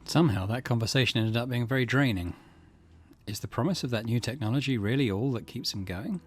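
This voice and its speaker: A man's voice: mid-range voice, a little fast, a little hiss or hum. A man hosting a gaming channel, giving casual let's play commentary and reading game text aloud.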